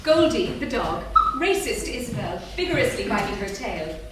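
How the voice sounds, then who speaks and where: A woman's voice: medium pitch, big pitch swings, moderate pace. A woman reading scripted narration and stage directions at a live staged reading. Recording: distant, very echoey theatre with noise.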